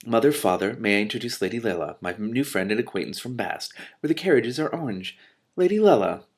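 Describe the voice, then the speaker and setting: A man's voice: lowish voice, animated, fairly quick. Man reading as an earnest, courteous young prince. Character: young prince, earnest, gallant, easily embarrassed.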